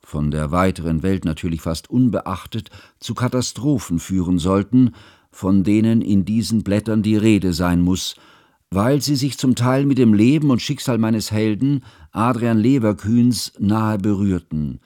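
Actor as a low-voiced, bookish narrator. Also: male voice, mellow, no room sound, studio quality, lightly acted. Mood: grave reminiscence. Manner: measured, bookish, reflective. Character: mild, cultured male schoolteacher narrating his memoir. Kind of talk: scripted literary narration in radio drama.

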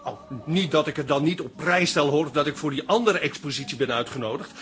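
A man's voice: on the high side, a little fast, fairly bright tone, soft background music, natural voice. A man acting a stiff, easily offended, self-important professor in a Dutch radio comedy drama, with formal, precise wording. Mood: polite, inquisitive.

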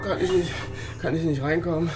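An actor playing a drunk, frightened secret police lieutenant in a radio drama, stammering, repeating himself and pleading huskily. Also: male voice, on the high side, fairly even intonation, a little fast, over music, natural voice. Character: drunk, frightened, pleading male Stasi lieutenant, late thirties. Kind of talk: radio crime drama acting.

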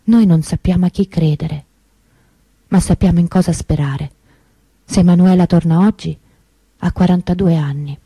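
An actress playing the grieving, bitter sister of a kidnapped girl in a radio monologue, measured and emphatic. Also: lowish voice, fairly even intonation, mellow, slightly rough, no room sound. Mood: indignant, grieving.

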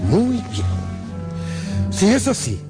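An actor playing a scheming, composed cardinal in a radio drama, formal and measured. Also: male voice, high-pitched, huge pitch swings, exaggerated voice. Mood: composed, probing. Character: scheming, composed cardinal, authoritative powerful man. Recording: music behind the voice.

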